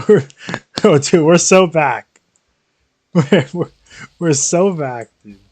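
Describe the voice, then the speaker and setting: A man's voice: a little high, expressive, slow, darkish tone. A man giving casual, slangy, sweary live commentary as a game streamer. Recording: game music behind the voice. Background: video game music.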